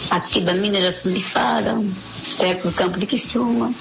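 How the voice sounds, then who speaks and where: A woman's voice: medium pitch, steady tone, a little slow, creaky, noisy background. A woman in her sixties leaving a recorded voice message for a church radio show, greeting the listeners unhurriedly. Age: 60s. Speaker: listener sending an audio message. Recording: lo-fi voice message with music behind.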